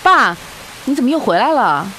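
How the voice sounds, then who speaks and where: An actress in a radio drama playing a lovestruck young student with a coy, pleading manner. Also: female voice, high-pitched, very animated, normal speed. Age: young adult. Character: lovestruck, coaxing young student, devoted daughter and girlfriend. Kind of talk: Chinese radio drama acting. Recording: faint hiss, rain-like noise.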